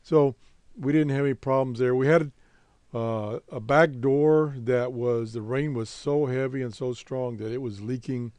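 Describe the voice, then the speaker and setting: An American man hosting a casual, unscripted hobby show update in a halting, laid-back, rambling way. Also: medium pitch, a little mellow, clear, resonant tone, no echo. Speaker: host of a radio hobby show.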